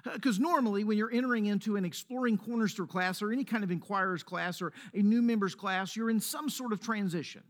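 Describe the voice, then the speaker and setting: A man with a Mississippi accent, a pastor leading a prayer and then preaching a sermon in a measured, earnest way. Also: high-pitched. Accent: Mississippi Southern. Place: church sanctuary.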